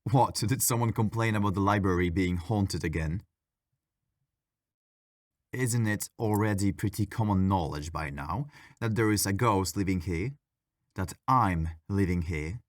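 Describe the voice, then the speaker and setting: Man acting as a mischievous library ghost, low-pitched, sassy, petulant, conversational, dry close recording, ASMR audio roleplay. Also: a little slow, no room sound. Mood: defensive, teasing. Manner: sassy, casual, dramatic complaining.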